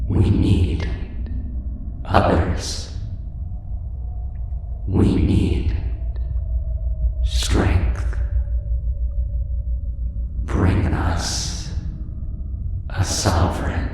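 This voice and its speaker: A man voicing an ancient cosmic sky entity that speaks as many, in a slow, solemn, commanding manner, with a layered echoing effect. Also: level tone. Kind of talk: fantasy audio drama. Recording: far-off cavernous reverb, heavy rumble.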